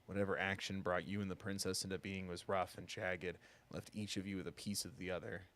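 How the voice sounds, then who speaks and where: Guy reading as a solemn game narrator, low and hushed, measured storybook delivery. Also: little pitch movement.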